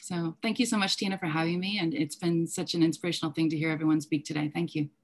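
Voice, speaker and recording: Woman teacher, deep voice, earnest panel remarks. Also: fairly even intonation, fast talker. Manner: earnest, fluent with filler ums. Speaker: teacher and class host. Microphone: video call.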